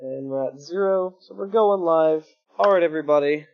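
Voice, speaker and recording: A young man hosting a casual gaming stream, joking and self-mocking, with a reasonably high-pitched voice, talking into a home desktop mic. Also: normal speed, lo-fi sound. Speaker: stream host. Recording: background music.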